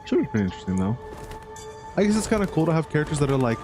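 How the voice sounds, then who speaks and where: A man's voice: on the high side, very animated, racing. Chill guy streamer rambling through a game reaction, casual, music playing behind him. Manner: laid-back, rambling, informal swearing. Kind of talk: casual live reaction commentary.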